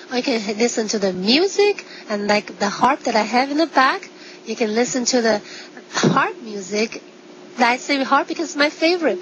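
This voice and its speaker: A woman's voice: a little high, fairly bright tone, lo-fi sound, slight background noise. A woman doctor explaining health in an interview, using simple everyday examples. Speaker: medical doctor and acupuncturist.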